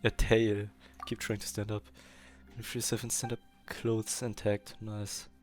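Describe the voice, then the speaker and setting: A woman's voice: very deep voice, flat delivery, unhurried, music in the background. A woman with German as her native language, giving casual commentary as she streams a video game.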